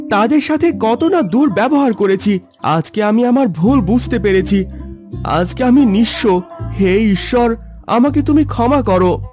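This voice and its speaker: A man's voice: high-pitched, a little mellow. A man playing a proud, self-centred city son in a melodramatic cartoon.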